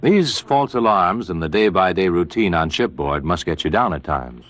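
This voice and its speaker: A man's voice: low-pitched, animated, normal speed, a bit bright. A man with an American accent interviewing as an announcer in a scripted 1940s radio style.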